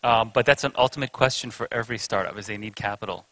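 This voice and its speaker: Bright-voiced man, dry close sound. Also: fairly low pitch, fast, dry recording. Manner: conversational and explanatory. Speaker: panelist. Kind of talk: conference panel discussion.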